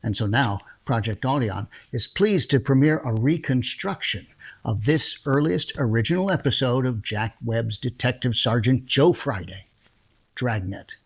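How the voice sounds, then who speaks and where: A man's voice: medium pitch, measured pace, slightly rough. A man, a producer, casually introducing a radio drama in a chatty, enthusiastic way. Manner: chatty, enthusiastic, laughs between phrases. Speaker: producer and host.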